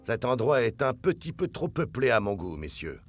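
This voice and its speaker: Cartoon dub: man for authoritative, serious coach, firm, animated intonation, music behind. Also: medium pitch, normal speed, natural voice. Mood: firm, stern. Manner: firm, commanding.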